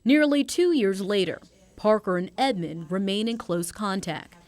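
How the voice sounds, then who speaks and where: A woman news reporter narrates a scripted story. Her voice sits at an average pitch for a woman, with the structured phrasing of a television report. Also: lively intonation, measured pace, darkish tone.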